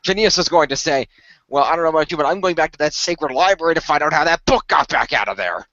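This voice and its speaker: A man, a cast member, chatting casually, often stammering and restarting as he asks animated questions. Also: on the high side, fast, dry recording. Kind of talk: casual podcast chat. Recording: dull lo-fi dry sound.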